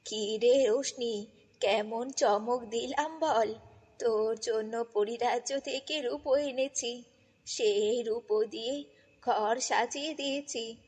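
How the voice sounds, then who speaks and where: A woman's voice: fairly high pitch, slightly rough, voice pushed down. A woman voicing a kindly, worried old grandmother in a children's fairy-tale cartoon, coaxing and affectionate. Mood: proudly affectionate. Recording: long reverb, music bed.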